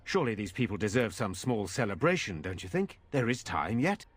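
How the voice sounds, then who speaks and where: A man's voice: lowish voice, sing-song, brisk, slightly rough, no room sound. Stately nobleman for a fantasy game; formal orator, grateful, close dry recording. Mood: resolute. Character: noble man leading defenders, stately and grateful.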